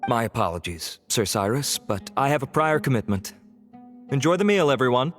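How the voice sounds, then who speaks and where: Courteous, mid-pitched young male officer played by an actor. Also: sing-song, normal speed, faint music behind, lightly acted. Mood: apologetic, polite. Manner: courteous, formal, careful. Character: polite, dutiful young male officer, earnest and formal. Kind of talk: video game voice acting.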